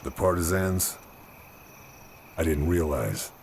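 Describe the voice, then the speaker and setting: Very deep-voiced, stoic agent. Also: male voice, expressive, takes it slowly, creaky, a little mellow, a little hiss or hum, exaggerated voice. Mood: quiet, terse. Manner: understated, laconic, low-key. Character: terse, stoic wartime special agent lieutenant. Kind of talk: video game cutscene acting and narration.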